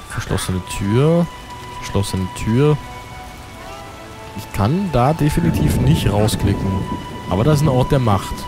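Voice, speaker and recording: A man with a cold giving casual, rambling commentary over his gameplay, muttering to himself. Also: on the low side. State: has a cold. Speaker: let's play commentator. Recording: over loud game music.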